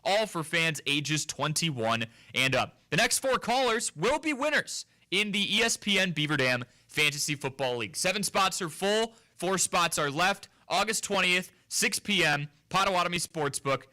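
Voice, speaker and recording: Bright, punchy sports radio host man. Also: high voice. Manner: energetic, promo-style delivery with quick laughs. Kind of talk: upbeat radio show intro and banter. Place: radio studio.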